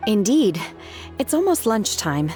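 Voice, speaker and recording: A woman's voice: mid-range voice, sing-song, measured pace, loud music behind the voice. A woman voicing an aloof, polite young disciple working as a waitress in an anime game, plain and matter-of-fact. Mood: calm, respectful.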